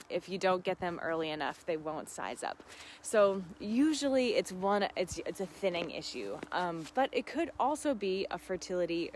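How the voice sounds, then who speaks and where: A woman's voice: lowish voice, slight background noise. A woman hosting a casual live gardening Q&A, talking in an effusive, affirming, giggly and conversational way. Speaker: gardening host answering live viewer questions.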